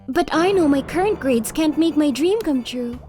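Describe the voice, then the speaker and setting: A kind, eager high school girl for a manga cartoon. Her voice is extremely high, and she speaks with humble, apologetic politeness. Light music runs underneath. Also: animated, normal speed. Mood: polite, earnest. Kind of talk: manga video character dialogue.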